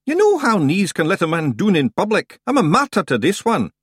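A man voicing a tall, shady stranger who talks loudly, bluntly and evasively. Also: high-pitched, very animated, brisk, husky, dry recording, clean studio sound, voice pushed up, heavily acted. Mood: gruff. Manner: loud, blunt, cagey. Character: tall dark stranger, loud and rough.

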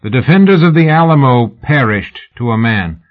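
A man's voice: medium pitch, lively intonation, normal speed, highs cut off, pitched above the performer's own voice, close to an everyday voice. A man voicing a boastful old general in an audiobook, speaking in a pompous, oratorical way. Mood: boastful oratory. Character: old foreign general, boastful war hero giving pompous speech.

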